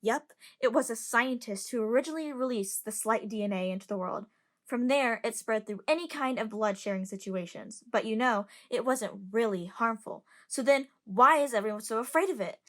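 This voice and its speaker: A woman's voice: fairly high pitch, normal speed, lightly acted. A woman in an amateur audio drama playing a curious, outspoken young passenger who chats and lectures. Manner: chatty, lecturing.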